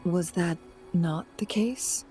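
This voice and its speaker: An actress playing a calm, composed and reassuring woman in a video game, speaking in a measured way. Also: lowish voice, soft background music. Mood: measured, inquiring. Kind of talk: video game drama acting.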